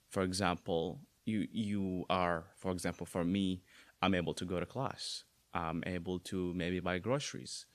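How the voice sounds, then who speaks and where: A young man, a native Arabic speaker with an Arabic accent, talking casually as an interview guest, relaxed and full of fillers and restarts. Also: deep voice, dry recording. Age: young adult.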